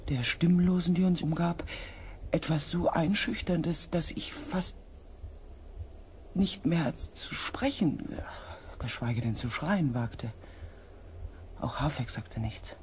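An actor playing a grave expedition member who narrates in the first person, measured and sometimes hushed, in a sci-fi radio play. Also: male voice, fairly high pitch, slight background noise. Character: grave male expedition member recounting an eerie mission.

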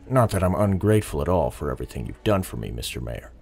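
An actor playing an earnest, guarded, weary police detective in an audio drama, dry and understated. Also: male voice, sits low, big pitch swings, fast, gravelly, a little mellow, exaggerated voice. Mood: guarded, respectful. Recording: reverberant hall sound, music behind.

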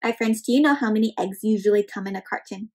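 A young woman reading a picture book aloud to children, animated and acting out the characters while asking the kids questions. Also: mid-range voice, fast. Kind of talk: interactive read-aloud for kids. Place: home room with decorated wall.

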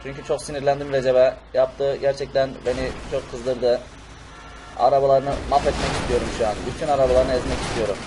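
A man's voice: mid-range voice, flat delivery, a little fast, warm tone, lightly acted. A man voicing an emphatic male cartoon superhero in an amateur role-play over a video game. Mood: angry. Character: angry, unhinged male cartoon superhero on a rampage. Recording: noisy game audio with explosions and vehicles.

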